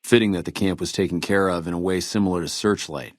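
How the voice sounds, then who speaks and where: An actor playing a weary, dutiful army trooper in a video game, speaking in a measured, earnest soldierly way. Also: male voice, low-pitched, fairly even intonation, no echo, clean studio sound. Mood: grave, businesslike. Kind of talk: video game dialogue acting.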